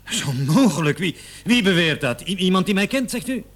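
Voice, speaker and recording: A man's voice: sits high, animated, normal speed, a bit bright. An actor playing an indignant, flustered salesman of about thirty who stammers and protests under suspicion in a radio drama. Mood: flustered, alarmed. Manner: protesting, stammering when pressed. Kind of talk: Dutch-language radio drama acting.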